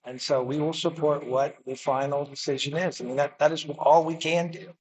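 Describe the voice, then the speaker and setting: A man speaking for a utility at a public meeting, defensive and halting, often repeating his words. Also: fairly high pitch, fairly even intonation, poor audio. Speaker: utility representative.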